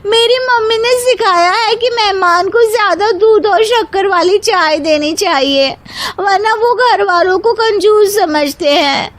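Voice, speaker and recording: A woman puts on a young, defiant daughter-in-law for a domestic story. The voice is very high and shrill. She whines her complaints with indignant force. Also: unhurried, a bit bright. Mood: argumentative.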